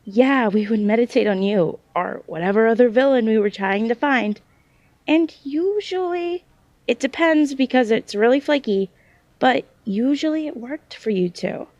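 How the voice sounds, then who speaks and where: A hesitant young woman played by an actress in an audio drama, with a fairly high voice. She speaks haltingly and doubts herself. The sound is dry and a little dull. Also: animated, darkish tone, no echo. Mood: unsure, explaining. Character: hesitant young woman with unreliable psychic sight. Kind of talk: naturalistic audio drama dialogue.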